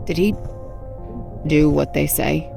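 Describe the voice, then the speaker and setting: An actress playing a tough, guarded young newcomer to a Mars colony in a sci-fi audio drama, terse and self-assured. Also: female voice, low voice, takes it slowly, vocal fry, full character voice. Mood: determined, terse. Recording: loud music under dialogue.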